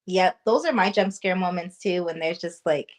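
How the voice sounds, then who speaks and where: A woman's voice: on the low side, moderate pace. A woman guest in a casual interview, a paranormal investigator who chats loosely, laughs often and says "like" a lot. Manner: chatty, laughing, lots of "like".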